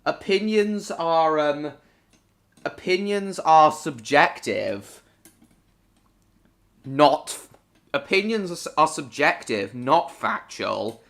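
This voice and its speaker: Male streamer from Hong Kong, bright, forward voice; rambling, talks back to chat, swears at game slip-ups. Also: a little high, lively intonation, takes it slowly, vocal fry, long pauses. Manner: answers viewers by name, rambling and repeating himself; bursts into swearing at game mishaps. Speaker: live streamer. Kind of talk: casual live-stream chat while gaming.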